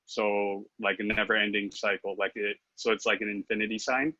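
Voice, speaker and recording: Hesitant man with a bright tone. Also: fairly low pitch, flat delivery, fairly quick, no echo, dull audio. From Philippines, raised in Los Angeles. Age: 30s. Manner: hesitant, many fillers and restarts. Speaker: podcast guest. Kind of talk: casual interview chat.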